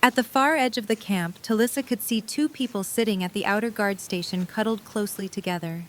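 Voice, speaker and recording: A woman's voice: medium pitch, a little fast. A woman reading scripted story narration for an audio drama.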